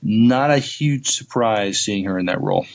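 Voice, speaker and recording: Male podcast co-host, lowish voice, hesitant and halting, informal news and discussion. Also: gravelly, a little hiss or hum. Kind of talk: casual news reading and discussion.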